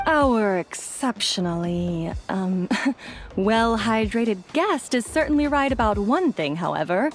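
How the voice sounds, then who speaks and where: A woman playing a polite, tactful bartender in an anime game, speaking in a courteous, measured way. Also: medium pitch, lively intonation, soft background music. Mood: apologetic, diplomatic.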